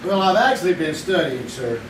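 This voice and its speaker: A man playing a young man who always needs money, with a high and easygoing voice. He delivers his lines in a wry, teasing way. The sound is echoey theater audio with steady room noise. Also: brisk, distant mic, natural voice. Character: young man Greg, broke and scheming for money. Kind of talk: 1950s-style radio drama acted live on stage.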